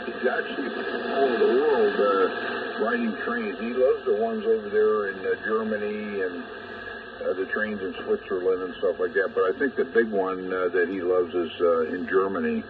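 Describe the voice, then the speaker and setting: A man's voice: sits low, steady tone, moderate pace. An older man chatting casually as a ham radio operator, rambling and trailing off mid-thought, heard through a radio. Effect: two-way radio transmission. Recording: narrow tinny shortwave radio, clearly noisy, distant.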